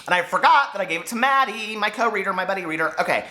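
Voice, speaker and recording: A man in his forties chatting casually and excitably to viewers as a book vlogger, gushing and a little campy. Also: high voice, racing. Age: 40s. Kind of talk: casual chat to viewers, book tag video.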